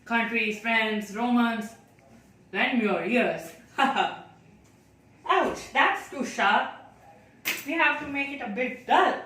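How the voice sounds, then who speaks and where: A woman performing a theatrical monologue as an anxious, chatty, naive child, talking to themself in a dramatic, deliberate way. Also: fairly high pitch, a bit bright. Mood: playful, musing. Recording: very echoey room, distant mic, faint noise.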